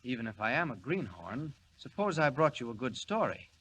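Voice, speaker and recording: An actor playing a polite, quietly confident young reporter in a 1940 radio drama. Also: male voice, mid-range voice, very animated, normal speed, breathy edge, poor audio. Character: earnest young male reporter with a secret. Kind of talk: 1940s radio acting. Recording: hissy 1940 radio recording.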